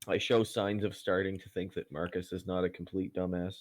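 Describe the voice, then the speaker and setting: A man chatting casually as a player in a tabletop game, blunt and quick to swear. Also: sits low, level tone, a little hiss or hum.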